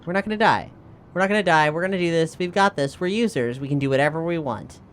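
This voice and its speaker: A man's voice: on the high side, fast talker, slight background noise. A man chatting informally and inquisitively as a player in a tabletop roleplaying game. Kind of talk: casual improvised game chat.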